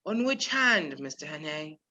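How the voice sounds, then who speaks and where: A performer playing a polite, measured male professor who is secretly a menacing spy chief in a radio play. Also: mid-range voice, big pitch swings, pushed and bright, full character voice. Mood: probing. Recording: dull lo-fi virtual recording.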